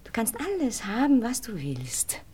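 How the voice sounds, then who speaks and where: An actress playing a seductive, mocking stage star in a radio play, with a teasing, imperious and dramatic way of talking. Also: female voice, on the high side, huge pitch swings, measured pace, a little mellow, breathy edge, full character voice. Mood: seductive, commanding.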